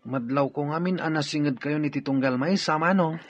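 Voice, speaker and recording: A man acting a curious, admiring younger brother in an Ilocano audio drama, with a husky voice. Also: a little high, moderate pace, close to an everyday voice. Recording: dull lo-fi recording, music behind.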